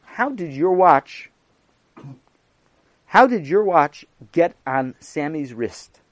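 A man's voice: a little high, sing-song, takes it slowly, dry recording, lo-fi sound, pitched above the performer's own voice. A man playing a stern, patient religious teacher who questions his pupils firmly. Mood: questioning. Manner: firm, questioning.

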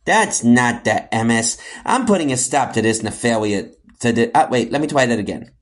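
An earnest hero from a children's audio comedy, acted by a man. He sounds good-natured and a little mock-heroic, with lively, varied intonation. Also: mid-range voice, normal speed, close to an everyday voice. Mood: indignant, determined.

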